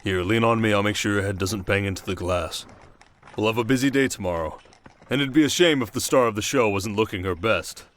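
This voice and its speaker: A man playing a shrewd, confident king in an ASMR roleplay drama, speaking in a measured, wry and protective way. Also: on the low side, lightly acted. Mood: tender, quiet.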